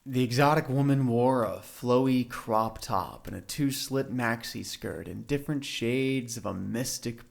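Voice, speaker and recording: A man's voice: medium pitch, gravelly. A man narrating a scripted audio drama in a literary storytelling style.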